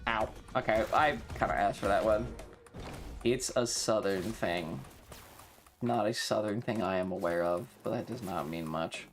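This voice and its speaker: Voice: mid-range voice, a little slow, faint music behind. An American game streamer giving casual live commentary, swearing often, exclaiming and repeating phrases. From North Carolina, US.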